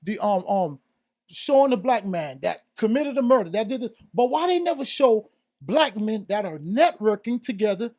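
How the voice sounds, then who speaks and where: A very high-voiced American man in a heated podcast debate. He is insistent and argumentative, pressing his point and cutting in, with plenty of casual "bro" in his speech. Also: big pitch swings, moderate pace, a little mellow. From South Florida, United States. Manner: argumentative, insistent, interrupting, repeatedly addressing others as bro. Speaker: podcast panelist.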